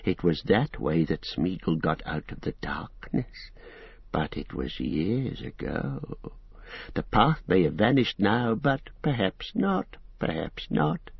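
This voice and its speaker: A man voicing a sly, wretched male creature who hisses, whispers and squeaks in a slow, wheedling drawl. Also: sing-song, no echo, highs cut off, full character voice. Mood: secretive. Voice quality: hissing, breathy whisper swinging to high squeaks. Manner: wheedling, sulky, slow and drawn out.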